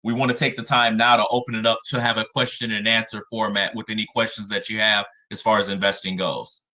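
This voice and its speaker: A man in his forties, a financial advisor giving a webinar talk in a measured way, often starting with "again". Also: on the low side, level tone, bright, forceful tone, low-quality recording. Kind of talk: webinar presentation.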